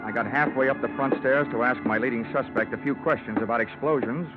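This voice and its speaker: An actor playing a wry, hard-boiled insurance investigator, deadpan and wisecracking, in an old-time radio detective drama. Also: male voice, medium pitch, fast, over loud music, close to an everyday voice. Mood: wry, narrating. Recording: tinny vintage radio recording.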